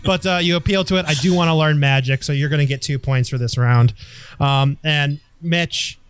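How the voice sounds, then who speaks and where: A man's voice: a little high, moderate pace, a bit bright, clean tone, dry recording. A man hosting a comedy podcast, keeping score and teasing his co-hosts in a dry, playful way. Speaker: podcast host and round judge. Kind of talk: casual comedic chat.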